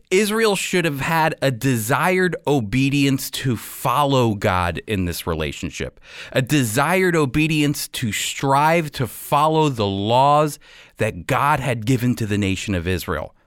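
A man with an American accent hosting a radio program, teaching the Bible in an emphatic, deliberate way. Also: medium pitch, animated, fairly bright tone, no room sound, studio quality.

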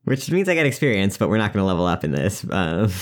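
A player at a tabletop game, hesitant and filling pauses. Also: low-pitched, big pitch swings. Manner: hesitant, laughing. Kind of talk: casual tabletop game chat.

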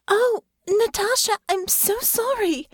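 A woman voicing a shy, flustered young noblewoman who speaks hesitantly and softly in an audiobook. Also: very high-pitched, slow, dry recording, studio quality, pitched above the performer's own voice. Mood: bashful. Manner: hesitant, breathy and soft-spoken. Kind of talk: audiobook character voice.

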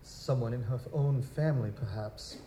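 A man's voice: mid-range voice, normal speed, warm tone, lightly acted. A man playing a formal, touchy young nobleman, a bereaved fiancé, in an audio drama, with stiff aristocratic diction. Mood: polite, formal. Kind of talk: live audio drama acting. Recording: roomy, echoing, noisy live recording.

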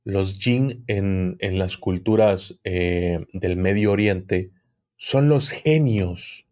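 A man's voice: low-pitched, extremely slow, darkish tone. A man who is an occultism expert guest, explaining at length in an interview and often repeating words while he searches for them.

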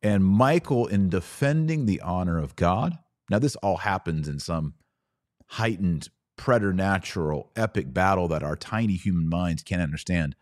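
A man hosting a podcast, giving a religious lecture in an emphatic, didactic manner with dramatic pauses. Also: deep voice, expressive, darkish tone, no room sound, studio quality. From Texas. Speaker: podcast host.

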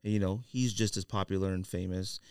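A man's voice: sits low, steady tone, a little fast, a little mellow, clean tone, no room sound, studio quality. A man with an American accent chatting casually as a podcast host, rambling and slangy. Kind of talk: casual podcast banter about sneakers.

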